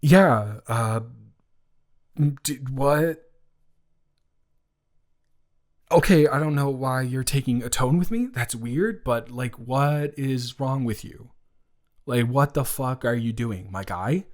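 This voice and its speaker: Guy acting as young best friend in a romance audio roleplay, stammery, casual, awkward but protective and indignant, mid pitched voice. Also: animated. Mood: angry, confrontational. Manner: halting, stammering, informal, repeats himself.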